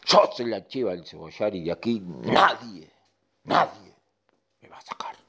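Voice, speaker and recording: A man voicing a stubborn female goat, deliberate and defiant, in a children's story. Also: fairly low pitch, very animated, vocal fry, bright, forceful tone, husky, heavily acted.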